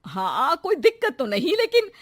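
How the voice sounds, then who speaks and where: A woman voicing a bossy older mother-in-law in a cartoon who scolds loudly. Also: high voice, huge pitch swings, normal speed, no room sound, studio quality, pitched above the performer's own voice. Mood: scolding.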